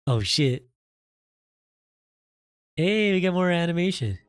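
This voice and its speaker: A man giving casual video game playthrough commentary in a halting, rambling, puzzled way. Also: high-pitched, very animated, clean tone, no room sound. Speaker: gaming video host. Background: game music.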